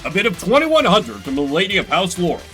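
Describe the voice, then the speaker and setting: Man putting on a bright, showy auctioneer. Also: sits high, sing-song, fast, faint music behind. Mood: brisk, rising excitement. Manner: crisp, formal announcing. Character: showy, formal auctioneer calling out bids.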